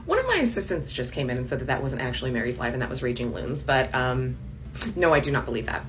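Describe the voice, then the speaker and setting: A young woman hosting a casual reaction commentary, sarcastic and quick with asides. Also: rumbling low, sing-song, very noisy. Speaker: commentary channel host.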